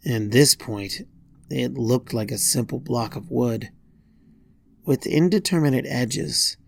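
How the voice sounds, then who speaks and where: A man reading a scripted first-person horror story in a deliberate way, pausing between short phrases. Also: medium pitch, vocal fry, husky. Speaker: fan fiction narrator.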